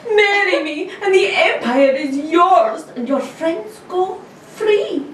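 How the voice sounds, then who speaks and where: Woman playing a stuck-up spiteful princess, slow and lofty, echoey far mic. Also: very high voice, expressive, a little hiss or hum, voice pushed up, full character voice. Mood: haughty. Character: haughty scheming princess, spiteful. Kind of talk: comic table read of an old radio serial.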